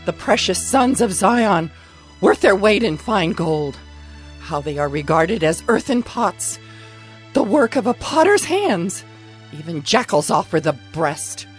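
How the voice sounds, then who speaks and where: A woman's voice: mid-range voice, big pitch swings, a little slow, faint music behind. A woman playing a grieving mourner of her ruined city and people, in a solemn, poetic dramatized scripture reading. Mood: mournful lament.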